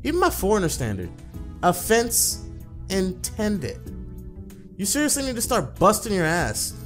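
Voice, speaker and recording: A man's voice: sits high, wildly swooping pitch, measured pace, soft background music, heavily acted. A man voicing a blunt, demanding young female pro gamer in a visual novel, with a bossy, no-nonsense manner.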